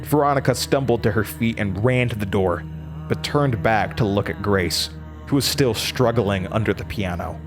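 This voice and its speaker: An actor playing a haunted young male college student with an American accent, narrating horror in a deliberate, intense, dramatic way. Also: fairly low pitch, music in the background, close to an everyday voice. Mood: grim, urgent. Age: young adult.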